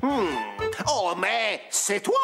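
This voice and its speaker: A high-voiced, jovial cartoon king, doting and theatrical. His pitch leaps around exuberantly, over music. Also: lively intonation, extremely slow, gravelly, heavily acted. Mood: delighted, doting. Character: jovial doting king and father who loves riddles. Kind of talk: animated children's cartoon acting.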